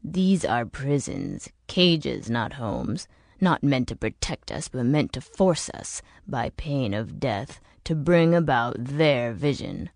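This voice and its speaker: A woman voicing a bitter, world-weary older man in an audiobook, explaining grim things in a measured, emphatic way. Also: a bass voice, animated, darkish tone, no echo, studio quality, voice pushed down, full character voice. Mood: seething. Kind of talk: audiobook character dialogue.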